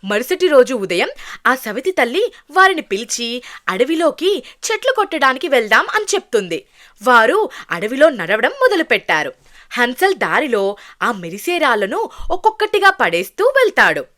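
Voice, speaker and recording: High, animated woman storyteller. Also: normal speed. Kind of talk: scripted children's fairy tale narration.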